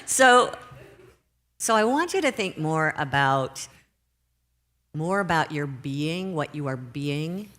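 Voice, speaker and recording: A middle-aged woman minister giving a Sunday sermon in a church, gentle and unhurried, slowing down to stress her points. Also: sits low, animated. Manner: gentle, unhurried, slows for emphasis. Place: church sanctuary.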